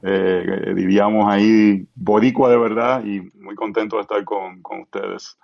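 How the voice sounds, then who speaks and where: A man in his forties with a Puerto Rican accent talks easily as a guest, low-pitched with a gravelly edge. The sound is dull and lo-fi, like a remote call. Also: measured pace. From Puerto Rico. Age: 40s. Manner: relaxed, filler-heavy, self-deprecating. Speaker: pastor and guest. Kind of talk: casual podcast conversation.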